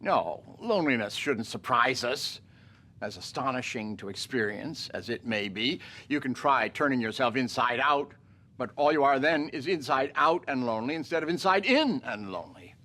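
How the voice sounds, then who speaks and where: An actor reading as an anguished, bitter father brooding on loneliness, measured and sometimes hushed, in a dramatic literary reading. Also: mid-range voice, expressive. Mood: bitter, lonely.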